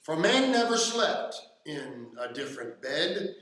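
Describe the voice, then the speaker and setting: A man plays a theatrical young radio-drama performer. He declaims slowly in a high male voice. The room around him rings with heavy echo. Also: sing-song, fairly bright tone. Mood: solemn. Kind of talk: radio play acting, dramatic recitation. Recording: very echoey, reverberant room.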